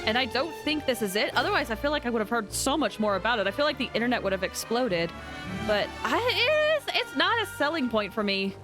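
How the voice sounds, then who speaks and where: A woman livestreaming a video game, with a fairly high voice that swings up and down. She chats informally and rambles along conversationally, with game music clearly playing behind her. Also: very animated, brisk. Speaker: live streamer playing a game. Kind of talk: casual chat with viewers.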